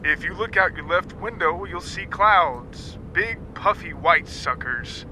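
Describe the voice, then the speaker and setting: A man's voice: lively intonation, slow pace, bright, forceful tone, exaggerated voice, low-quality recording. A man voicing a folksy, laid-back airline captain making an announcement through a cabin intercom. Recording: buzzy intercom with engine rumble.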